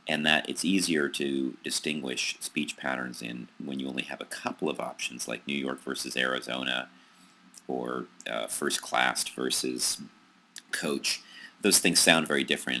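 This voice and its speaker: A man with an American accent giving a recorded psychology lecture over slides, pausing often with ums and restarts. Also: very deep voice, creaky, sharp tone, a little hiss or hum. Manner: hesitant, many ums and restarts.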